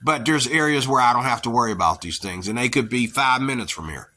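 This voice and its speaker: Bright-voiced rambling man with Pittsburgh accent. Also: medium pitch, fast. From near Pittsburgh, USA. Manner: rambling, repetitive, emphatic. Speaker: vlogger. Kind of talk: casual monologue rant.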